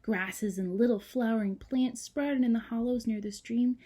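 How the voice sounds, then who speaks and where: A woman reading a children's storybook aloud like a teacher, with dramatic emphasis, hushed moments and chatty asides to young listeners. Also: fairly high pitch, steady tone, normal speed.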